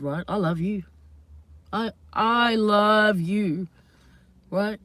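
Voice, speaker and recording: A woman hosting her own channel, chatting casually and at length to her viewers, slightly hoarse, rambling and laughing often. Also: medium pitch. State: hoarse from screaming. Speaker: channel host. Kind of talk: casual live vlog chat to viewers.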